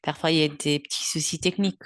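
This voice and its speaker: Low-voiced older Belgian woman lecturing. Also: fairly even intonation, no room sound, clean studio sound. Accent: Belgian French. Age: 70s. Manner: unhurried, thoughtful, with frequent hesitations. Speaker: bioenergetic therapist giving a webinar. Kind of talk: webinar lecture.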